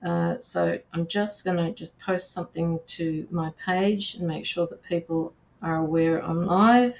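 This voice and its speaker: A woman's voice: fairly low pitch, steady tone, measured pace, vocal fry, a little mellow, roomy sound. A woman hosting a livestream, chatting informally with viewers and her guest. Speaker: livestream host. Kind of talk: casual livestream chat.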